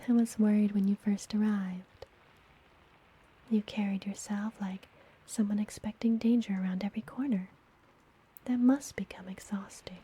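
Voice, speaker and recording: Slow whispering witch healer, acted by a woman. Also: mid-range voice, husky, poor audio. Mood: gentle, caring. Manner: soothing, unhurried, softly whispered. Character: friendly, mysterious woodland witch healer, gently teasing. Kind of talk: whispered ASMR fantasy roleplay.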